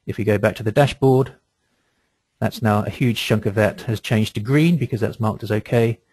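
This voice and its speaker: A man presenting a software walkthrough in a webinar, explaining each step in a methodical, measured way. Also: lowish voice.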